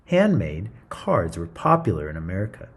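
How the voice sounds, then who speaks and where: A man's voice: low voice, wildly swooping pitch, normal speed, slightly rough. A man reading a story aloud to children in a theatrical, playful style, with chatty asides. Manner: theatrical, playful, interjecting comments to young listeners.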